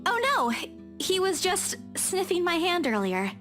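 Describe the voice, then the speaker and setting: A high-voiced young woman in a visual novel game. She is polite but easily flustered, and she stammers and hesitates as she defends herself. Soft guitar music plays behind her. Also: very animated, measured pace, heavily acted. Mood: hesitant, awkward.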